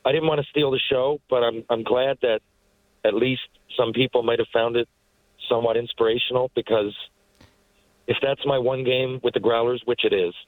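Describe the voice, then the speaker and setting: A man's voice: medium pitch, steady tone, normal speed, no echo, poor audio. A man in his forties from Newfoundland, a guest who talks in a heartfelt, rambling way with many restarts. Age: 40s. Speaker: guest, retired hockey player. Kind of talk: casual interview talk.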